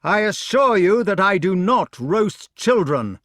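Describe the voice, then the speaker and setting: A man's voice: sits high, sing-song, slow pace, a bit bright, dry recording, clean studio sound, voice pushed up, exaggerated voice. A man voicing an earnest, courteous knight in a storybook, formal and indignant as he protests. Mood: indignant, protesting.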